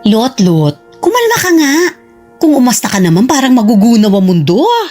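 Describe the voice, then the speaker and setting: A woman's voice: fairly high pitch, huge pitch swings, normal speed, warm tone, soft background music. Woman playing a fierce protective mother. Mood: stern, indignant. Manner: indignant, tearful scolding. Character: protective middle-aged mother, fierce when provoked. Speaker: mother of Tristan. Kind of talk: radio drama acting.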